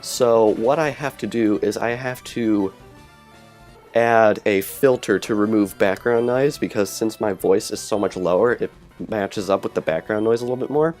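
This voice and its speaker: A man's voice: on the low side, moderate pace, a little mellow. Chatty man on a cheap earbud mic. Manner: rambling, self-correcting, chatty. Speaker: let's play commentator. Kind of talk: casual gameplay commentary. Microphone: cheap earbud headset microphone. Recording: lo-fi earbud mic with game music.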